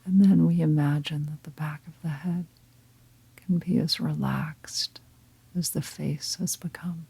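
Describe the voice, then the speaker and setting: A woman leading a guided meditation in a calm, gentle, breathy half-whisper, unhurried and with long pauses. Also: low-pitched, dry recording. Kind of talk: guided meditation, slow soft-spoken instruction.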